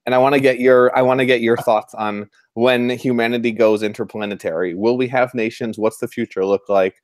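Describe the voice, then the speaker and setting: A man's voice: mid-range voice, fairly even intonation, fairly quick, no echo. A man hosting an interview in a casual, conversational style. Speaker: podcast host, interviewer.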